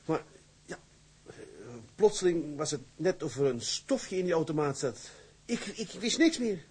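A man's voice: on the high side, big pitch swings, unhurried, darkish tone, slightly rough, full character voice. An actor playing an earnest, eager young seaman who has just qualified as a ship's mate, speaking respectfully and a little hesitantly. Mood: relieved, recounting. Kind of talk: radio or screen drama dialogue.

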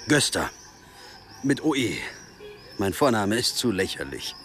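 Lively, wry young male traveller. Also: lowish voice, a little slow, a little hiss or hum. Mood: awkward. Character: awkward, wry young male architecture graduate abroad. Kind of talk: German radio drama acting.

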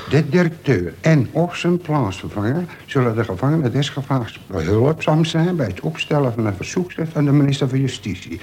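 Male actor, hardened jailbird, coarse and cynical, middle pitch, radio play, faint noise. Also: darkish tone, natural voice. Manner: coarse slang, reels off regulations by rote. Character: streetwise, cynical veteran male convict. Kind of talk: Dutch radio play acting. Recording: faintly noisy old radio play recording.

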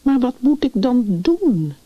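A woman reading the part of an anxious, deeply moved young mother in a story, with an agitated, pleading manner. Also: very animated, takes it slowly, no echo, exaggerated voice. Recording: dull, muffled lo-fi recording.

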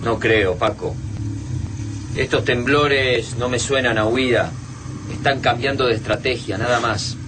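An actor with an Argentine accent playing a skeptical, brooding professor among resistance fighters in a radio drama. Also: male voice, mid-range voice, steady tone, measured pace, noisy background, close to an everyday voice. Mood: wary.

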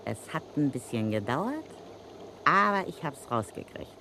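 An actress playing a cocky, slangy widow of a crook who blackmails a murderer, in a vintage radio play. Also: female voice, low voice, big pitch swings, a little slow, pushed and bright, slight background noise, heavily acted, low-quality recording. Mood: menacing.

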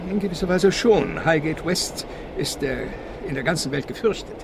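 A policeman in a radio crime drama, relishing eerie legends. His fairly high, gravelly voice swings widely and pauses for suspense, with outdoor traffic noise behind it. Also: animated. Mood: suspenseful, relishing. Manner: storytelling, pausing for suspense. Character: male English policeman recounting eerie cemetery legends.

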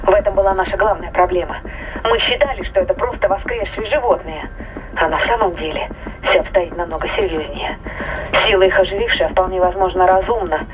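An actress playing a wary, insistent spaceship crew member in an audio drama, earnest and analytical, heard through a radio intercom filter. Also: female voice, fairly low pitch, fairly quick, phone-quality sound. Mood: urgent warning. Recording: band-limited radio voice over music.

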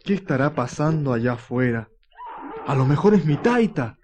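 A man's voice: medium pitch, animated, normal speed, a little mellow, lightly acted. Man acting as swoopy-voiced anxious country lad. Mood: anxious, puzzled. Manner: anxious, exclamatory, colloquial. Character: frightened country boy, young male, rustic and uneasy. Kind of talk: radio drama acting. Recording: muffled, noisy 1990s radio recording.

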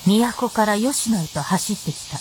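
A woman's voice: mid-range voice, lively intonation, measured pace, darkish tone, a little hiss or hum, low-quality recording. A woman reading scripted narration for a Japanese radio drama.

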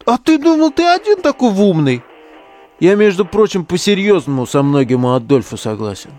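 An actor playing a hot-tempered, crude young neo-Nazi relic digger in an audio drama, brash, slangy and sneering. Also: male voice, high-pitched, sing-song, moderate pace, darkish tone, faint music behind. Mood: angry, defiant.

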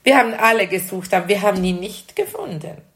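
A woman's voice: mid-range voice, sing-song, fast, a bit bright. A woman with a Swiss German accent playing a kindly primary school teacher in an amateur radio play, speaking simply to children. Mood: regretful.

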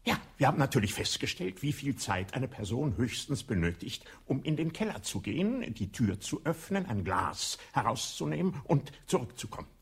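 An actor playing a shrewd police inspector in a radio play, with a husky voice, probing and ironic. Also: male voice, mid-range voice, sing-song, moderate pace, few pauses, heavily acted.

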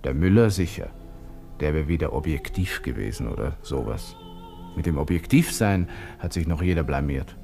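Deep-voiced haughty Austrian lieutenant. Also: male voice, expressive, moderate pace, gravelly, warm tone, faint music behind, heavily acted. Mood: indignant. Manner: haughty, indignant, muttering to himself. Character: arrogant young Austrian army lieutenant, thin-skinned, brooding. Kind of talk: 1960s German radio drama interior monologue.

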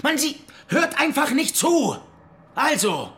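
An actor playing a young, hot-headed male rebel in a radio drama, with an excitable, sneering delivery. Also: extremely high pitch, slow pace, exaggerated voice. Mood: angry, sneering. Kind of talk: German radio drama acting.

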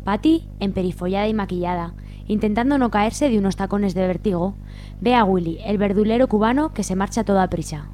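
A woman's voice: mid-range voice, brisk, dark tone, a little hiss or hum. A woman reading scripted narration for a radio drama, with music behind her.

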